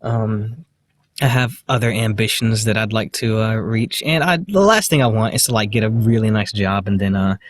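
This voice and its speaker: A man with a slight lisp, talking to the camera in a halting, hesitant way, full of fillers and restarts. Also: lowish voice. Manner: quiet, self-deprecating. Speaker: vlogger. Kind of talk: casual self-recorded monologue.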